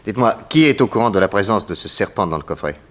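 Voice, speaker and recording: Low-voiced, courteous detective. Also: male voice, lively intonation, fast talker, fairly bright tone. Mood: inquisitive. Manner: polite, inquisitive. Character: courteous, brisk, self-assured male private detective. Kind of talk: 1960s French radio drama acting.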